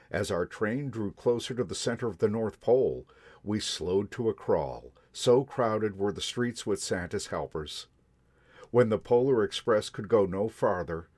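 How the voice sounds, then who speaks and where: A man reads a children's story aloud, slow and unhurried, his low voice rising and falling with lively expression. The sound is dry and close, with no room around it. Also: dry recording. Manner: gentle, unhurried, expressive reading. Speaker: storyteller in a Santa persona.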